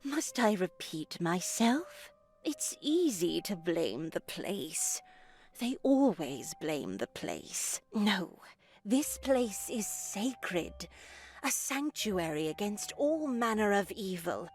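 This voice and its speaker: A woman playing a stern, pious older nun in a horror game, preachy and condescending with quiet menace. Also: mid-range voice, sing-song, slow pace. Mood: calm, condescending. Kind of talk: dramatic visual novel voice acting.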